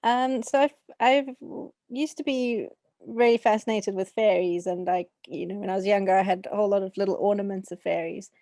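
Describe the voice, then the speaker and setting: A woman's voice: mid-range voice, a little fast, dark tone, no echo. A young adult woman with a South African accent, talking casually as an author being interviewed.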